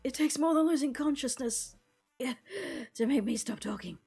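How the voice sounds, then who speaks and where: A woman's voice: sits high, moderate pace, gravelly, warm tone, husky, faint music behind, voice pushed up, heavily acted. A woman voicing a chatty, stubborn teenage boy runner, whining and out of breath, for a visual novel. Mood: breathless stubbornness. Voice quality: panting, gasping. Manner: whining, rambling, protesting.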